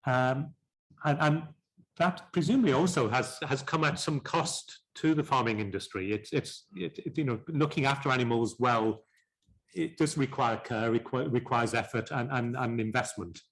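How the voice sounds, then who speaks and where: A man chairing a panel discussion, polite and halting, often repeating words as he sums up. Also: mid-range voice.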